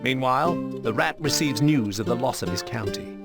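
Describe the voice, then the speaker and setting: A man narrating a video game story in a measured, scripted storytelling manner. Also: medium pitch, animated, vocal fry, over loud music.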